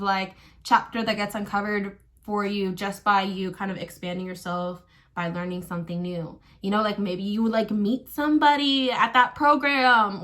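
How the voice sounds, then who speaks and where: A young woman chatting casually about astrology while doing her makeup, soft-voiced and full of likes and ums. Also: a little high. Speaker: astrology vlogger. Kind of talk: casual get-ready-with-me chat. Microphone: built-in camera mic.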